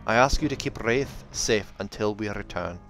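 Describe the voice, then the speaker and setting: A man voicing a gruff, protective old dwarf with rolled Rs, in the manner of a fantasy audiobook. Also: on the low side, moderate pace, fairly bright tone. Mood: sorrowful. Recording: music behind the voice.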